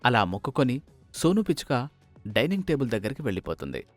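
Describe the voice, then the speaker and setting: A man's voice: medium pitch, sing-song, fast talker, no room sound, faint music behind. A man narrating a scripted children's cartoon story as its storyteller.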